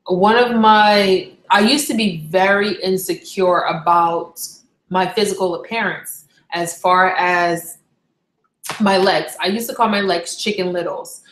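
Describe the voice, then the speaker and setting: A woman's voice: on the low side, steady tone, measured pace, a bit bright, slight background noise. A young woman from Barbados chatting casually on a live stream, candid and rambling, often correcting herself mid-sentence. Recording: echoey room.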